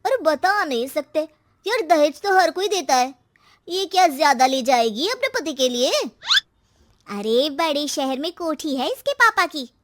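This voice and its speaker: A woman's voice: high voice, sing-song, measured pace, pitched above the performer's own voice. A woman voicing a catty, teasing young female friend in a storybook tale, taunting as she speaks.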